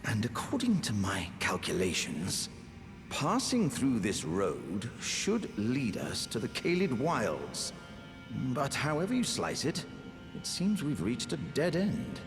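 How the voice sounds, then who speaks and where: A man's voice: medium pitch, huge pitch swings, slow, heavily acted. A man with a British accent voicing a hearty, pompous, good-natured living warrior jar in a theatrical fantasy game style. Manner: grandiose, jovial, old-fashioned gentlemanly phrasing. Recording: music behind the voice.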